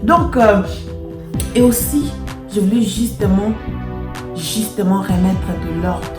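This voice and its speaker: A woman's voice: medium pitch, expressive, takes it slowly. A woman with a Congolese French accent addressing her subscribers in a casual vlog, emphatic, repetitive and playfully boastful. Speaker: YouTuber. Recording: echoey room with music behind. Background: music.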